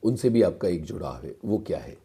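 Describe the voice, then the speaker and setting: An Indian man hosting a radio interview, asking unhurried questions in hesitant phrases with short acknowledgements. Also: low-pitched, very animated, warm tone, slightly rough.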